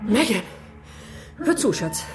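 A woman playing a forceful politician and desperate mother in a radio drama, speaking with urgent authority. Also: a little high, sing-song, takes it slowly. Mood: urgent pleading. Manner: commanding, urgent. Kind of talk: German radio drama acting. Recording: music behind the voice.

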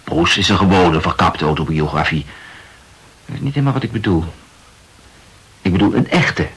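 A pensive novelist in a radio drama. His husky voice moves freely up and down as he muses aloud. He explains himself earnestly and insistently, like someone working out a theory. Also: deep voice, lively intonation, normal speed, a bit bright, exaggerated voice. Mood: musing, insistent. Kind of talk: Dutch radio play acting.

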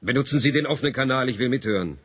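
Gruff, impatient spaceship captain played by a man. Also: mid-range voice, racing, noisy background, close to an everyday voice. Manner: brusque, barking orders. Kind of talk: 1980s German science fiction radio play.

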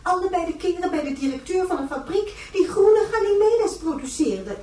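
Indignant, gushing mother, radio drama. High voice, distant from mic, faint noise. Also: expressive, moderate pace, warm tone. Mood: scandalized. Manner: gushing, scandalized, repetitive. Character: indignant, prim teetotal mother, overexcited interviewee. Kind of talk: Dutch science fiction radio drama acting. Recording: echoey, distant, faintly noisy interview tape.

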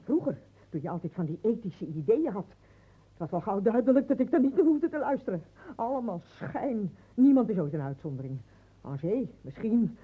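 A woman's voice: medium pitch, sing-song, moderate pace, slightly rough. An actress playing a bitter, reproachful woman who needles insistently in an old radio play. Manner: insistent, accusing, repetitive. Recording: thin, phone-like old radio play recording.

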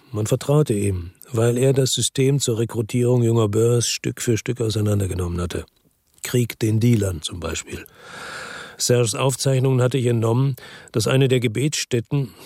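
A man's voice: medium pitch, a little mellow, husky, no room sound, studio quality. An actor playing a world-weary, tough detective, narrating his own story in a terse, matter-of-fact way for a radio drama.

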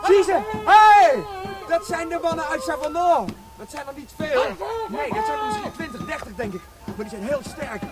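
A very high, youthful voice for a seventeen-year-old boy in a radio play. He is eager and defiant, repeating his words breathlessly and calling out. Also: lively intonation, normal speed. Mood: excited, eager. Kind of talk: radio drama acting.

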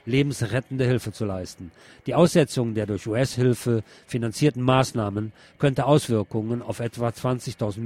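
A man's voice: mid-range voice, a little mellow. A man reading scripted news narration and commentary as a presenter.

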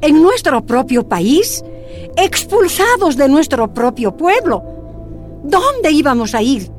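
Woman telling her own story, kinda high voice, sad and reflective, music under. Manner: reflective, sorrowful recollection. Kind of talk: first-person scripted narration.

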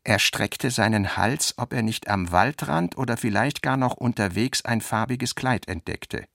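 A man reading literary narration for a radio play in a measured, carefully articulated way. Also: mid-range voice, vocal fry, a bit bright, no echo, clean studio sound.

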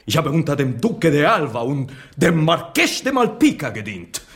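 A ranting Spanish playwright, wildly swooping pitch. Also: male voice, sing-song, normal speed. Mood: contemptuous. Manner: haughty, theatrical, ranting. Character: proud, scornful, hot-tempered Spanish playwright. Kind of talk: German radio drama acting.

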